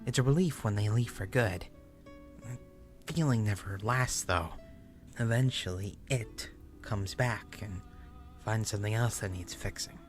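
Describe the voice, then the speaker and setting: A man playing an obsessive, unhinged narrator in a horror story, speaking in a deliberate, halting, confessional way with stammered repeats. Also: on the low side, natural voice. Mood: obsessive, confiding. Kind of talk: dramatic first-person horror story reading. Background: music.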